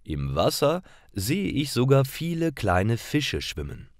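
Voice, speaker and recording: Male narrator for learner stories: unhurried, lively intonation, deliberate delivery, dry close sound. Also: mid-range voice, no echo. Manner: clear, deliberate storytelling for learners. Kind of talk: scripted story narration for language learners.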